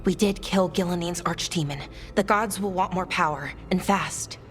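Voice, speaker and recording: An actress playing an earnest, slightly hesitant and chatty young elf scholar in a fantasy game. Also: female voice, on the low side, normal speed, fairly bright tone, soft background music, lightly acted. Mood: earnest, concerned.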